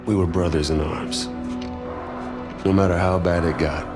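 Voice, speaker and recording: Man as a very deep-voiced, reflective young Marine. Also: fairly even intonation, normal speed, music in the background, lightly acted. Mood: solemn, reflective. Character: reflective young male Marine recruit narrating his story. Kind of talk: scripted game narration.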